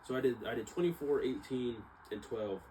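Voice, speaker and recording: A man's voice: mid-range voice, expressive, fairly quick, mellow, a little hiss or hum, low-quality recording. A young American man telling a story casually, with a rambling, slangy manner, often correcting himself. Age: young adult. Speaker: vlogger, exercise science student.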